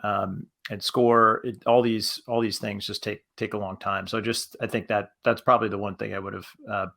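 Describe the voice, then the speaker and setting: A man's voice: fairly low pitch, level tone, moderate pace, vocal fry, sharp tone. A man speaking casually as a creator on a discussion panel.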